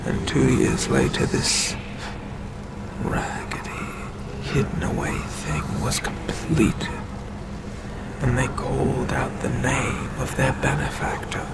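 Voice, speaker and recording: An ancient eldritch god, acted by a man, tells his tale in a creaky, breathy murmur that often sinks to a whisper. He speaks extremely slowly and deliberately, sinister and domineering, in a close-miked ASMR roleplay. A loud rumble of noise runs behind the voice. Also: steady tone. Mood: ominous, patient. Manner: slow, deliberate, menacing, long pauses. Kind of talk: ASMR roleplay, scripted storytelling.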